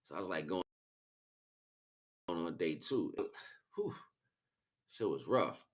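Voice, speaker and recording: Man, lowish voice, casual livestream monologue, slangy, rambling, frequent rhetorical check-ins. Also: animated, slow. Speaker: livestream host.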